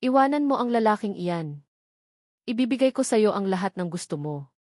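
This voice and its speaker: A woman's voice: medium pitch, lively intonation, normal speed, a little mellow, no room sound, studio quality, close to an everyday voice. A woman with a Filipino accent voicing a cold, stern, commanding wealthy father in an audiobook drama.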